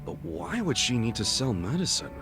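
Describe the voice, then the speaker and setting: A man's voice: mid-range voice, very animated, moderate pace, natural voice. An actor playing an earnest, plain-spoken male friend in a video game, talking colloquially and sympathetically with an American accent. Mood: curious, surprised. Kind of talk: video game dub, conversational. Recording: music behind the voice.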